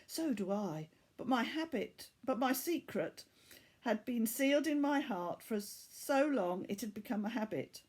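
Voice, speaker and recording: A woman reading the part of a weary, earnest boy from Bangladesh in a storybook, telling his story in a measured way. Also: fairly high pitch, animated, a little mellow. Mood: regretful.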